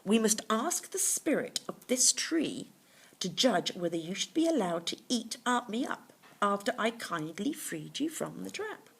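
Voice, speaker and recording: Woman reader doing a kind earnest monk in a kids story, polite, kinda slow, lots of up and down. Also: medium pitch, very animated, creaky, husky, full character voice. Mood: indignant, afraid. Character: kindly male monk, indignant and afraid. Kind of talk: children's storybook character voice.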